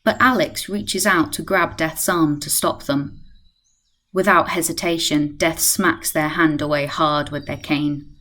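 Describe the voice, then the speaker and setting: A woman's voice: deep voice, fairly even intonation, measured pace, bright, forceful tone, exaggerated voice. A woman playing the composed narrator of a radio play, reading scripted present-tense storytelling. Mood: measured.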